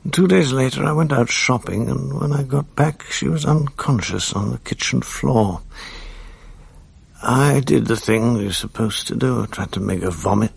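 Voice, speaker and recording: An actor playing a guilt-ridden, self-loathing English husband in a radio drama, educated and apologetic, with a gravelly voice. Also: male voice, mid-range voice, moderate pace, no room sound, heavily acted. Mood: reflective. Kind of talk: radio drama acting, dialogue and first-person narration. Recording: 1980s radio drama with faint music.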